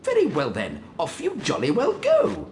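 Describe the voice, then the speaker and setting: A man's voice: high voice, huge pitch swings, moderate pace, vocal fry, a little mellow, slight background noise, full character voice. A man voicing a fussy, formal male wizard professor, speaking in a prim, lilting way in a game cutscene. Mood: formal instructing. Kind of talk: video game cutscene acting.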